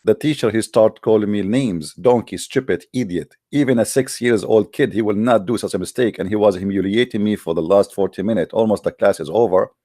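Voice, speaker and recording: A man's voice: lowish voice, brisk, hardly any pauses, mellow, no room sound, clean studio sound. A man from the Middle East, a native Arabic speaker with an Arabic accent in English, hosting a religious call-in debate with a combative, boastful, emphatic monologue in short phrases.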